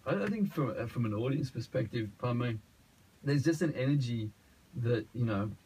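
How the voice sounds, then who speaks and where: Gravelly, husky man hosting radio. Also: medium pitch, expressive, normal speed, a little mellow, low-quality recording. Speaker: radio show host. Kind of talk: casual radio interview. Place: radio studio.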